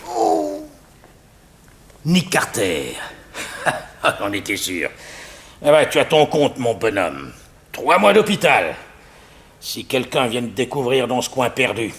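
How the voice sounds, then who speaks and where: An actor playing a brutal, gloating, mocking crook in a noisy old 1960s French radio serial. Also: male voice, medium pitch, huge pitch swings, takes it slowly, long pauses, fairly bright tone, heavily acted. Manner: mocking, swaggering.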